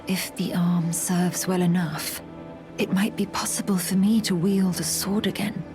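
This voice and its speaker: An actress playing an earnest, stoic young swordswoman in a fantasy game, formal and measured, with breathy phrase endings. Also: fairly low pitch, steady tone, music in the background, natural voice. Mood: moved, grateful. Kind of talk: dramatic fantasy game acting.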